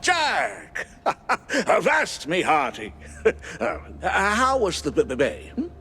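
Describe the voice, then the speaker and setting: A man's voice: sits high, wildly swooping pitch, slow pace, pushed and bright, heavily acted. An actor playing a nervous, genial male cartoon mouse in a game, stammering and hesitant, with pompous wording. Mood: jovial, awkward.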